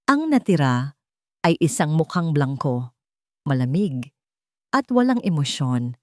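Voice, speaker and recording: Filipino woman, low pitch, audiobook narrator, dramatic scripted story, measured phrasing, dry sound. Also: big pitch swings, no room sound.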